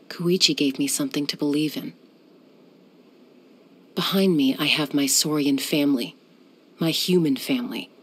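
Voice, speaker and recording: An actress voicing a young warrior woman who is a peacemaker, speaking in a calm, measured way with a dry, teasing edge. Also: low voice, husky. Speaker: game character. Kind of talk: game voice lines.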